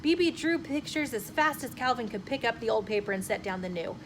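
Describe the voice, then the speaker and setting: Higher-pitched woman reading a children's story aloud. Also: fairly quick. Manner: animated, emphatic phrasing with acted dialogue. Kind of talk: expressive children's read-aloud narration. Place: inside a bookshop. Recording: noticeable room noise behind the voice.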